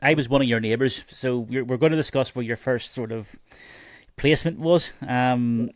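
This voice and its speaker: Belfast bloke hosting a podcast, informal, kinda measured n halting, really dry sound. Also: male voice, medium pitch, no echo. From Belfast, Northern Ireland. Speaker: podcast host.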